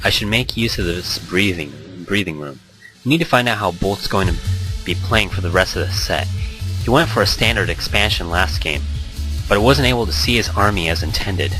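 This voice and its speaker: A man's voice: low-pitched, normal speed, bright, forceful tone, rough recording. A man reading a visual novel aloud with expression, stumbling over words now and then and rereading them, mixed with casual asides. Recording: background music under the voice. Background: music.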